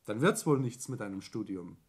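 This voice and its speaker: Curt, domineering elder son. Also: male voice, fairly low pitch, animated, moderate pace, breathy edge. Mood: cold, pressing. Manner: curt, commanding, impatient. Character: brusque, businesslike, domineering elder son taking over family firm. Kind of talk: 1960s German radio play acting.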